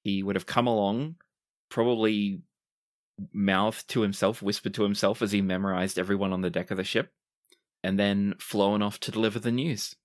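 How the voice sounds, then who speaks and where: A man's voice: on the low side, steady tone, normal speed. A man improvising narration as the game master of a tabletop roleplaying podcast.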